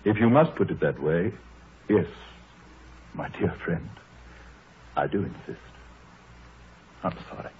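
An actor playing a troubled, anxious country gentleman, grave, hesitant and formal, in a 1930s radio drama. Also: low voice, expressive, takes it slowly, pauses often, exaggerated voice. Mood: fearful, grave. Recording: muffled, noisy 1930s radio recording.

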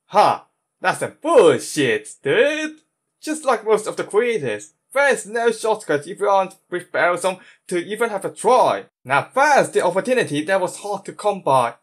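Man putting on a loud, high brash young brawler. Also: sing-song, normal speed. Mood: brash, excited. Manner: exclamatory, slangy.

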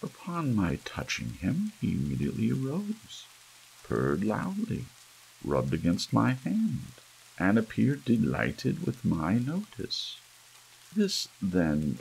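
Male audiobook narrator for gothic horror, husky and gravelly, animated pitch swings, measured dramatic pauses, ASMR style. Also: low-quality recording. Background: soft rain.

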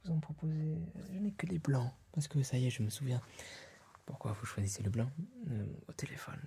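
A man's voice: mid-range voice, animated, a little fast, gravelly, warm tone, slight background noise. A young man in a whispered ASMR roleplay, speaking breathily and hesitantly as a polite, fussy tailor with a client. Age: young adult. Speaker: ASMR performer playing a tailor. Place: small room dressed as a tailor's workshop. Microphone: close ASMR microphone.

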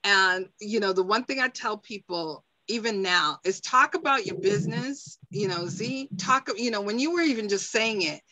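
A woman's voice: medium pitch, moderate pace, few pauses, no echo, low-quality recording. A middle-aged woman on a video call, speaking with energy and encouragement. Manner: energetic, encouraging, rising emphasis. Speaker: panelist, interviewer. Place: home room, indoors.

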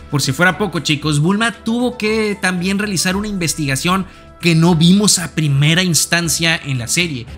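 A man with a fairly high, very bright and forceful voice narrates an anime explainer over background music. He speaks emphatically and breaks his scripted delivery with chatty asides to his viewers.

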